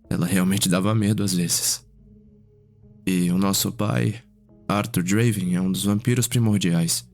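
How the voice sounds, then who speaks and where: A gentle, refined vampire nobleman played by a man with a low voice. He talks in a measured, formal way in an ASMR roleplay, over faint music. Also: lightly acted. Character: genial, refined elder vampire brother, wistful nobleman. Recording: music behind the voice.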